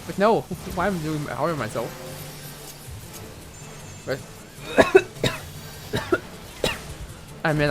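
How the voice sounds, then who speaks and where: A young man with a French accent, a native French speaker, chatting and rambling casually while streaming a game, with many fillers and restarts. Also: a little high. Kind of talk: casual live gaming commentary.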